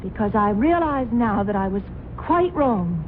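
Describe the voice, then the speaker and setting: An actress playing a wealthy, naive wife in an old radio drama, sweet at heart but quick to turn indignant. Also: female voice, medium pitch, big pitch swings, slight background noise. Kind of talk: 1970s radio drama acting. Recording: tinny old radio broadcast.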